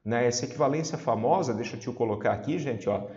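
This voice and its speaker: A man's voice: medium pitch, quick pace, dark tone. A man with a southern Brazilian accent teaching an informal exam-prep lesson, chatty and didactic, often checking that listeners follow. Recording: roomy echo, slightly dull sound.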